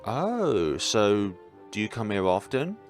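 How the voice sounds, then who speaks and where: A man's voice: fairly low pitch, big pitch swings, slow pace, faint music behind. A man voicing an awkward, well-meaning young male student in a visual novel, polite and hesitant as he makes small talk. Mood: curious. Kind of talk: visual novel read-aloud.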